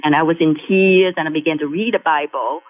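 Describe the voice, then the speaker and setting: A middle-aged woman from Vietnam with a Vietnamese accent, a guest sharing her faith testimony in halting speech that restarts phrases. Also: sits low, fairly even intonation. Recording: tinny phone line with faint music.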